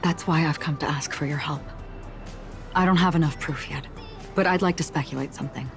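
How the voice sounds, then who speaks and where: An actress voicing a calm, enigmatic wandering swordswoman in a video game, measured, soft-spoken and reflective. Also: deep voice. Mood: quiet, earnest. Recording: music behind the voice.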